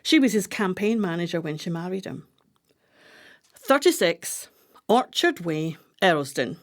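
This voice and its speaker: A woman's voice: fairly low pitch, very animated, unhurried. A woman playing a scheming older female pensioner in a radio play, speaking in a theatrical, conspiratorial way. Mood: conspiratorial, businesslike.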